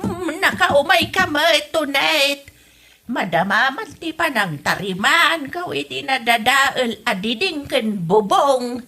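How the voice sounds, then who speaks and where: A woman playing a feisty, evasive village wife who is quick to retort, in an Ilocano comedy drama podcast with an Ilocano accent. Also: sits high, expressive, sharp tone, exaggerated voice. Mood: curious.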